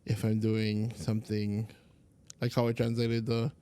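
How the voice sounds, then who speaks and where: A young man from Hawaii, a Hawaiian language teacher, chatting as a podcast guest in a relaxed, rambling way with lots of fillers. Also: on the low side, a little slow, clear, resonant tone. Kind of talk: casual interview chat.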